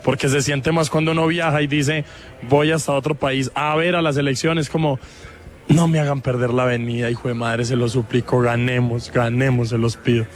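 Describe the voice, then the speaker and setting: A man's voice: medium pitch, level tone, fairly quick. A man with a Colombian accent chatting casually and doing live interviews as a roving reporter. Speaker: roving radio show reporter.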